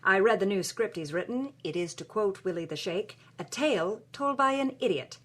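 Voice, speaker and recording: A woman's voice: mid-range voice, animated, moderate pace, lightly acted. A woman playing a wry, dramatic film star reading aloud from her journal in a theatrical style. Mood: dramatic, scornful.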